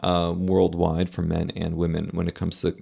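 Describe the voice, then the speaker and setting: A man speaking as a plastic surgeon, giving casual, measured, explanatory commentary in reaction to a video. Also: low voice, monotone, creaky, mellow, a little hiss or hum.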